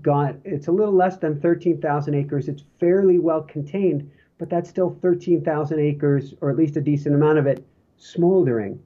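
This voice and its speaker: A male podcast host with a hoarse voice, chatting casually and rambling with fillers and restarts. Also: on the high side, steady tone, moderate pace, narrow, tinny audio. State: hoarse, coughing from smoke.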